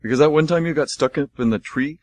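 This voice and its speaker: A man's voice: fairly high pitch, fast, darkish tone, dry recording, voice pushed up, close to an everyday voice. A man voicing a dim, friendly dog in a radio play, talking in a stammering, good-natured way in a dry studio. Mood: dopey.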